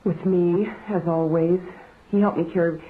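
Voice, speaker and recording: An actress playing a young student wife in a naturalistic radio drama, conversational, quietly firm and gently defensive. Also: female voice, fairly low pitch, steady tone, moderate pace, a little hiss or hum, lightly acted. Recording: tinny band-limited radio, faint music behind.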